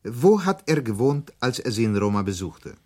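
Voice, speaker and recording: An actor playing a sly, courteous Italian police investigator in a radio play, probing with suave politeness. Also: male voice, mid-range voice, big pitch swings, normal speed, darkish tone.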